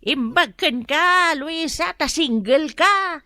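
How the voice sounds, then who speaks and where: Actress doing a sarcastic ilocano woman, really high bright voice, needling, radio comedy. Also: expressive, takes it slowly, no echo, full character voice. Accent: Ilocano Filipino.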